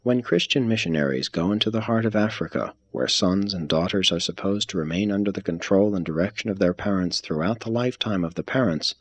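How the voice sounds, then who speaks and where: A man reading a religious text aloud as scripted narration, in a measured, formal manner. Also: sits low, no echo. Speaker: reader.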